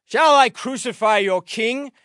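A man playing a commanding, troubled Roman governor in a dramatic scripture reading, loud, emphatic and declamatory. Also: high voice, animated, unhurried, no echo, clean studio sound, pitched above the performer's own voice, exaggerated voice. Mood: proclaiming.

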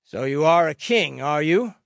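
A man playing a commanding, troubled Roman governor in a dramatic scripture reading, loud, emphatic and declamatory. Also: a little high, animated, a little slow, vocal fry, no room sound, clean studio sound. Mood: sharp questioning.

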